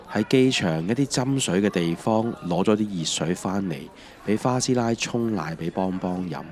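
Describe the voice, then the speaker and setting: A low-pitched, middle-aged Hong Kong Cantonese voice of a man acting as a mild husband in an audio drama. He tells his story reflectively and without hurry, as scripted first-person narration. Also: a little mellow, lightly acted.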